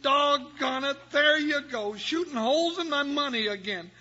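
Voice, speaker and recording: An American man playing an excitable, heavyset comic sidekick in an old radio western, with a squawky voice. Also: very high voice, normal speed. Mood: comic complaining. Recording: noisy, muffled old radio recording.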